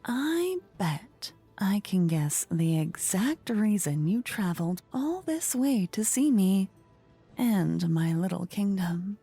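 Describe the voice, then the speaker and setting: A woman playing a playful, regal fantasy queen in a soft-spoken, breathy ASMR roleplay, languid and coy, often slipping into whispers close to the microphone. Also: mid-range voice, very animated, takes it slowly, faint music behind, full character voice. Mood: intrigued, teasing. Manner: languid, coy, flirtatious, courtly. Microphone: close ear-to-ear microphone.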